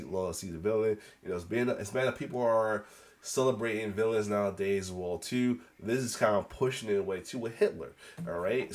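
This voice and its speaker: A congested man with a cold, giving a casual, rambling news reaction as the host, with restarts and coughs. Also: on the low side. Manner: rambling, repeats "as well too", many restarts; occasional coughs.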